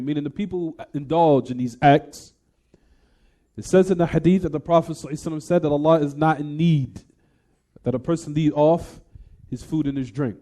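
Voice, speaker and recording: A man with a fairly high yet soft, mellow voice delivers a religious lecture. He explains texts in a didactic way, repeating key phrases and posing questions to those listening. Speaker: religious teacher giving a lecture.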